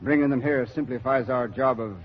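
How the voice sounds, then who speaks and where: An actor playing a cold, matter-of-fact army captain in 1940s radio drama, explaining with detachment. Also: male voice, medium pitch, a little fast, a little hiss or hum, lightly acted. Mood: cold, detached. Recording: muffled vintage radio recording.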